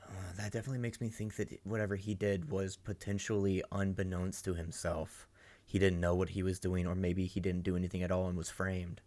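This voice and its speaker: Young guy reacting to anime, lowish voice, chatty, gets loud and hyped fast. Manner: excitable, chatty, bursts of laughter and loud outbursts. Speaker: reaction video host. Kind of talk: casual reaction commentary.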